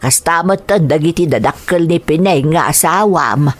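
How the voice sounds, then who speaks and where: A woman voicing a loving older mother with an Ilocano accent, gentle and grateful, in an audio drama. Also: deep voice, normal speed. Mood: tender.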